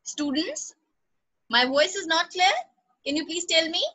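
A high, bright-voiced woman teaches an online class. She praises students by name and repeats each instruction patiently. Her voice comes through a computer microphone on a call. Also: unhurried. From Pakistan.

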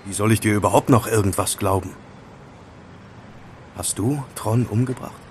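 An actor playing a wary, questioning young student in a German audio drama. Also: male voice, fairly low pitch, normal speed, a little mellow, slight background noise, close to an everyday voice. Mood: suspicious. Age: young adult.

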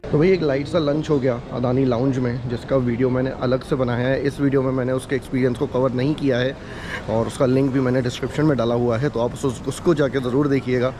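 A man's voice: mid-range voice, steady tone, quick pace, over music. A young man narrating a casual travel vlog, chatty and upbeat as he walks and talks to a camera held at arm's length in a large airport terminal. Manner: chatty, upbeat, walking and talking to a handheld camera. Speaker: travel vlogger. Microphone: action camera held at arm's length. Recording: noisy terminal ambience.

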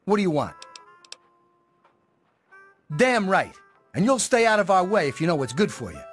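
A man's voice: sits high, sing-song, normal speed, husky, soft background music, heavily acted. A man voicing a cocky young gang member in a video game, boastful and bullying with a sneering swagger. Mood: hostile. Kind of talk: video game dialogue acting.

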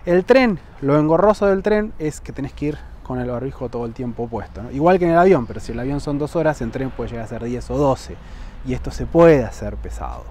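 A man's voice: medium pitch, brisk, slight background noise. A man in his thirties with a Rioplatense Spanish accent, vlogging outdoors on a lapel mic. He explains travel rules to the camera and keeps checking in with "¿sí?". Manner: checks in with frequent "¿sí?" tags, lively emphasis. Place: outdoors on a lawn by buildings, sunny.

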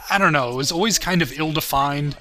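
A man's voice: a little high, fast talker, sharp tone. A man giving casual, self-deprecating commentary on his own parody series, chuckling and swearing as he goes.

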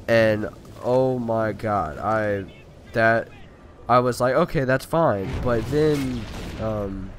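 A man's voice: medium pitch, takes it slowly, noisy background. A man with a stuffy, nasal voice from being sick, rambling through casual commentary over his gameplay. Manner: rambling, talkative, frequent fillers. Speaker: gaming video creator. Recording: voice over loud gunfire game audio. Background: video game gunfire and sound effects.

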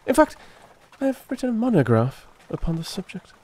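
Man acting out a self-assured showy detective, raised pitch, very lively, precise, emphatic, storybook dialogue. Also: a little fast. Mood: proud, explanatory. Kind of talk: dramatised Victorian audiobook dialogue.

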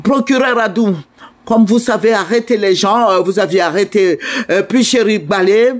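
High-voiced older Ivorian man pleading. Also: normal speed. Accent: Ivorian French. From Côte d'Ivoire. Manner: indignant, pleading, repetitive appeals. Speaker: online commentator. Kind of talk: impassioned video address.

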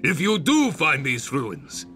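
Hearty, slow-talking guild adventurer. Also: male voice, medium pitch, huge pitch swings, pushed and bright, full character voice. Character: hearty, boisterous adult male adventurers' guild leader. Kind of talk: video game voice acting. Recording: game music behind the voice.

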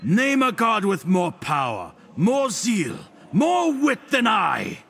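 Pompous video game god, high-pitched, gravelly edge, smug, theatrical, slow deliberate pace. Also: male voice, huge pitch swings, a bit bright, heavily acted. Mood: smug mocking. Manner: pompous, theatrical, drawn-out delivery. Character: haughty male god sage, theatrical and smugly witty. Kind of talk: video game character voice lines.

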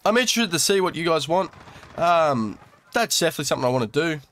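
A man's voice: on the high side, expressive, fast talker, a bit bright, a little hiss or hum. A young Australian man with a slightly nasal voice from a cold, casually commentating over his gameplay in a crude, laid-back, swearing manner. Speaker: gaming YouTuber.

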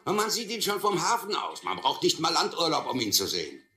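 A man's voice: a little high, huge pitch swings, fairly quick, pushed and bright, echoey room, heavily acted. An actor playing a probing old seaman who tests tall tales in a German radio drama.

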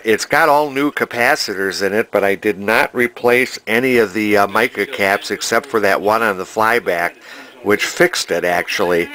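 A man's voice: lowish voice, bright, forceful tone, poor audio. A man narrating a casual repair vlog as a vintage television restorer, thinking aloud in a hesitant, rambling way in his home workshop.

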